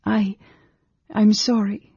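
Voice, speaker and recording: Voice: mid-range voice, level tone, takes it slowly, vocal fry, darkish tone, slightly rough, no echo, muffled recording, pitched above the performer's own voice, exaggerated voice. A performer voicing a timid, distraught young woman who falters and chokes on words, in a fantasy story. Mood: tearful, apologetic.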